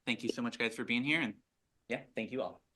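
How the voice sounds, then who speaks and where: A man's voice: medium pitch, quick pace, bright, forceful tone, a little hiss or hum. A man hosting an event, giving an upbeat, rambling introduction of the speakers. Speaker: event organizer and host. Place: event venue. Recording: roomy, distant mic in a venue.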